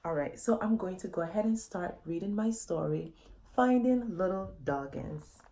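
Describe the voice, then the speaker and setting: A woman's voice: fairly low pitch, normal speed, dark tone. A woman author reading a children's story aloud, expressively, often addressing young listeners directly with questions.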